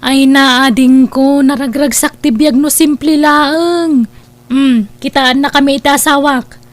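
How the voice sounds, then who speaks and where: Actress as very high-pitched, preachy older sister. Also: female voice, fairly even intonation, a little slow. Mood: smug, chiding. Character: complacent married older sister, scornful of wealth. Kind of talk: Ilocano radio-style family drama acting.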